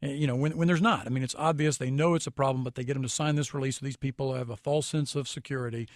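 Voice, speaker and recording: A man's voice: mid-range voice, steady tone, quick pace, no room sound, studio quality. An American man giving critical, explanatory commentary as a guest on a radio talk show.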